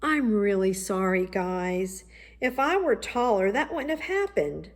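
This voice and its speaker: A woman's voice: mid-range voice, very animated, measured pace. Lady doing an eager little kid from a picture book, earnest n questioning, really up and down. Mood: apologetic, sad. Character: eager, earnest young boy longing to grow taller. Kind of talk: children's storybook read-aloud.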